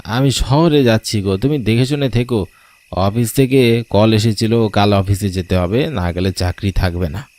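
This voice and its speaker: A man's voice: on the low side, moderate pace, a little mellow, natural voice. A man voicing a put-upon but dutiful office worker and grandson in a story cartoon. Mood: affectionate. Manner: grumbling in monologue, affectionate to elder. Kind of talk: Bengali cartoon voice acting.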